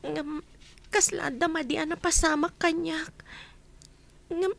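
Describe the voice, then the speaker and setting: A puzzled, uneasy young woman speaks in a very high, soft falsetto, as a man puts her on. She talks slowly and hesitantly, a little back from the mic. It is a radio drama. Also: steady tone, creaky, heavily acted.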